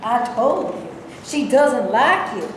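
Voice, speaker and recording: A cutting, sardonic woman played by an actress in a staged reading, high-pitched and swooping in tone. Her delivery is unhurried, and the hall around her echoes heavily. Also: lively intonation. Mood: mocking. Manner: sarcastic, cutting. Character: sharp-tongued, sardonic woman who scorns foolish men. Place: indoor stage reading, live room. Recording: very echoey hall, faint background noise.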